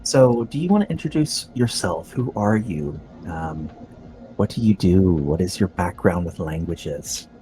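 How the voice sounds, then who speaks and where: A young man hosting a podcast, relaxed and friendly as he interviews a guest. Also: low voice, a little slow, slight background noise, low-quality recording. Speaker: podcast host. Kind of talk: casual interview.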